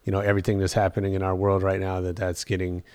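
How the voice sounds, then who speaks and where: A man's voice: deep voice, completely flat delivery, quick pace, a little mellow, smooth, dry recording. A man hosting an interview, friendly and encouraging, asking long meandering questions. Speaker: interview host. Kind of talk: casual interview talk.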